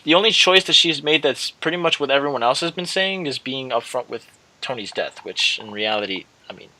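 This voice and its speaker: A man's voice: medium pitch, sharp tone. A man hosting a casual let's-play, reading game text aloud and often stumbling and rereading as he goes. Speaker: gaming video host. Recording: clearly noisy home recording.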